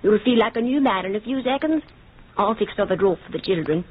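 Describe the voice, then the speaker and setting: An actress in an old-time radio drama playing a sly, scheming household nurse with an insistent, theatrical delivery, on a tinny, noisy recording. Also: female voice, mid-range voice, lively intonation, fast, natural voice. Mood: coaxing.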